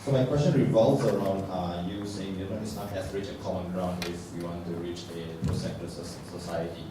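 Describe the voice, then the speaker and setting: Man from singapore, very deep voice, hesitant question, echoey distant hall mic, noisy. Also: steady tone, a little fast. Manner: hesitant, many fillers. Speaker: audience member asking a question. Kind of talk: question from the floor.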